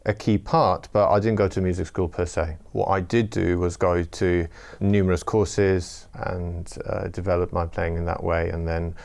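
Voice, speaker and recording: A middle-aged Welsh man giving an interview, talking in a measured, reflective way with careful wording. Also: sits low, steady tone, vocal fry, darkish tone. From Wales. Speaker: interviewee, former orchestral violinist turned trader.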